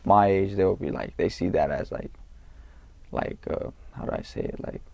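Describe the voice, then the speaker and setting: A young man from California, a guest in an interview, talking in a laid-back, halting way with street slang and lots of fillers. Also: low voice, creaky, darkish tone. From Norwalk, California. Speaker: guest, rapper. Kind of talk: casual interview talk.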